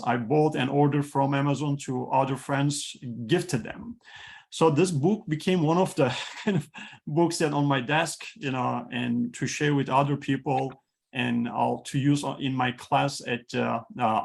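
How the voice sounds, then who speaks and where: A man whose first language is Turkish, hosting an online talk on a video call and speaking haltingly with many fillers and restarts. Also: mid-range voice, creaky. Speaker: host, institute president.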